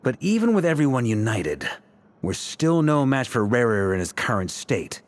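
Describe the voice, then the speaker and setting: Shrewd knight strategist for a video game, male actor, medium pitch, animated intonation. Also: normal speed, lightly acted. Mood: musing, serious.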